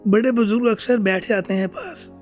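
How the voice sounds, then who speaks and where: A man's voice: high voice, lively intonation, brisk, a little mellow, soft background music. A man speaking as an interview guest, telling family anecdotes in a conversational way.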